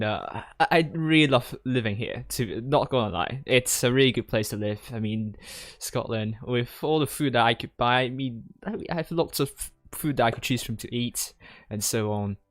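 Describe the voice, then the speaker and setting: A man's voice: medium pitch, a bit bright, no echo. A young man from Hong Kong chatting casually as a gaming streamer, rambling hesitantly and often repeating words as he answers viewers. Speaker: gaming streamer and tournament commentator. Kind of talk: casual livestream Q&A chat. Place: indoors at a desk in a gaming chair.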